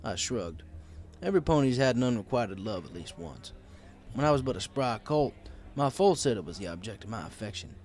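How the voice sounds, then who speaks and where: A man's voice: mid-range voice, big pitch swings, fairly quick, hardly any pauses, darkish tone. A man narrating a scripted first-person story in a wry, conversational storytelling way. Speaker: audiobook narrator.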